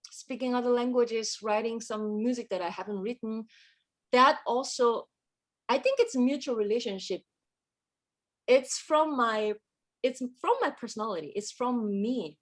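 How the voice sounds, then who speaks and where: A woman composer from Korea who speaks English, reflecting thoughtfully in an interview and often searching for her words. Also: fairly high pitch. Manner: reflective, searching for words, many fillers and restarts. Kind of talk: casual conversational interview.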